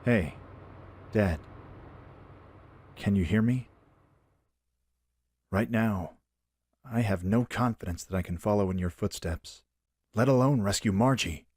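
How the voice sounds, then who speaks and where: Man playing an earnest, low-voiced young prince. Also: slow, long pauses, a little mellow, breathy edge, no echo. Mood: quiet self-doubt. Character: earnest young exiled prince, burdened and self-doubting. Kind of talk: radio-play acting in a fan dub.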